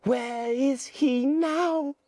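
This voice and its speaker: A woman doing a shrill indignant schoolboy. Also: drawn-out words, dark tone, dry recording, clean studio sound, full character voice. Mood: frightened. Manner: whiny, protesting. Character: indignant anxious schoolboy with glasses.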